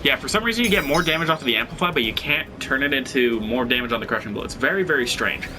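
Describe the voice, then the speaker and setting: A man's voice: mid-range voice, lively intonation, fast, background noise. Stuffy-nosed man explaining game mechanics. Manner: analytical, conversational, thinking aloud. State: slightly stuffy nose. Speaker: video game guide host. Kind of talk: gameplay tutorial commentary.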